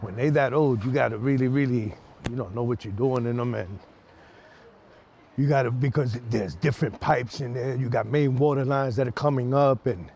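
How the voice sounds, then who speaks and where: Casting: American man, everyday mid-pitched voice, colloquial explainer in casual street chat, light outdoor noise. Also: moderate pace, darkish tone. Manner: colloquial, explanatory, peppered with "you know". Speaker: maintenance worker, interviewee. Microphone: clip-on lapel.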